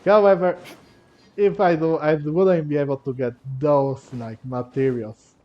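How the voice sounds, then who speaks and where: A man giving casual let's-play commentary, rambling and halting as he thinks aloud. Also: a little high, steady tone, muffled recording. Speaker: gaming commentator. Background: video game music.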